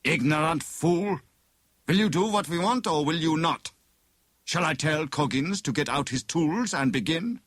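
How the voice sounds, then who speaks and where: A man voicing a menacing villain in an audiobook, with a sneering, deliberate and formal way of threatening. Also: on the high side, lively intonation, fairly bright tone, no room sound, studio quality, voice pushed up. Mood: angry, demanding. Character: menacing, sneering male villain posing as inspector. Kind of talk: dramatised audiobook dialogue.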